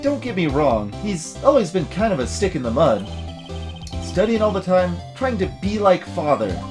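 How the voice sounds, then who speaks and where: A woman voicing a goofy, excitable young man who talks in boastful slang and stammers when flustered, in video game dialogue. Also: fairly low pitch, moderate pace, music in the background. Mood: surprised, chatty. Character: goofy, excitable young man, slacker hot dog vendor. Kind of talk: voiced visual novel game dialogue.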